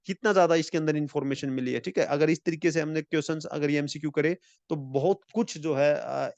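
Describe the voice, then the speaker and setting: A man teaching an exam-prep lecture in a friendly way. He mixes in English and reads the English lines slowly. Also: on the high side, a little mellow, dry recording, muffled recording. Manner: friendly, calls viewers friends.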